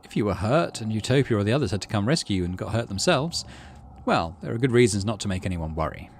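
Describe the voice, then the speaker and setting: Low male voice, animated intonation, game host, story reading with casual asides, light background noise. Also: fast talker. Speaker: gaming video host. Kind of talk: reading visual novel text aloud with casual commentary.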